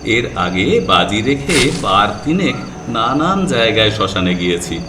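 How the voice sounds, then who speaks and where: A man's voice: fairly low pitch, very animated, a little slow, background noise. A young man narrating his own story in the first person, with a confident and skeptical manner. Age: young adult. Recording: distant echoey voice with background music.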